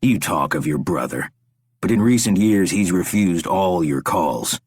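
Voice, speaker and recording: A man voicing a calm, soft-spoken captor who speaks slowly and quietly with menace. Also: deep voice, slightly rough, dry recording, studio quality, full character voice. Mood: menacing.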